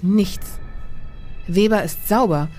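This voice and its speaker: A woman acts as a no-nonsense police inspector in a crime audio play. Her voice is of medium pitch, with music behind it. She is terse, brisk and determined. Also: warm tone. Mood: businesslike. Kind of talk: German crime audio drama acting.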